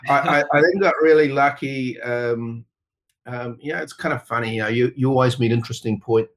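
Halting New Zealand man in conversation. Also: medium pitch, poor audio. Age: middle-aged. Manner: halting, rambling, many "you know"s. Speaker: interview guest, tech company co-founder. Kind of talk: conversational interview answers.

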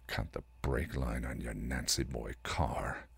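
A man's voice: a bass voice, steady tone, slow, vocal fry, husky, no echo, clean studio sound, pitched below the performer's own voice, full character voice. A man voicing a cocky, protective male vampire in a story reading, slangy and offhand. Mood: muttering menace.

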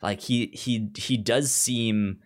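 A man co-hosting a podcast, chatting casually in a halting, rambling way and often repeating words as he goes. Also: medium pitch, fairly even intonation. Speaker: podcast co-host reviewing a TV drama. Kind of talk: casual chat.

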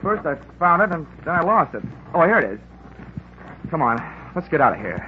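An actor playing a world-weary, hard-boiled insurance investigator in a 1950s radio drama, with wry, sardonic, slangy delivery. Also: male voice, on the high side, big pitch swings, fairly quick. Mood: dry, sardonic. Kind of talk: 1950s radio drama acting with first-person narration. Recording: muffled, noisy vintage radio recording.

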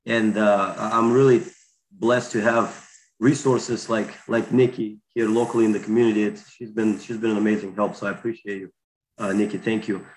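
Halting man in conversation. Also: fairly low pitch, flat delivery, slight background noise, poor audio. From Bulgaria. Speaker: guest, wrestler and coach. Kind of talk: casual interview conversation.

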